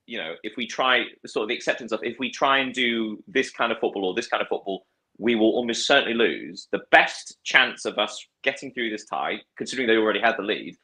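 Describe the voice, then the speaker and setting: A man's voice: medium pitch, bright, forceful tone, low-quality recording. A man discussing football casually, thoughtful, with frequent fillers and restarts. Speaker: podcast guest.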